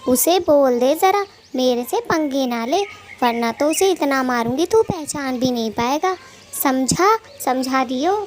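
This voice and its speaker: A woman's voice: sits high, lively intonation, a little slow, a little hiss or hum. A woman voicing a bossy, quarrelsome female cartoon bird with a scolding, taunting way of talking. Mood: angry, threatening. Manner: scolding, taunting, colloquial bickering. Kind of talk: Hindi cartoon story dialogue.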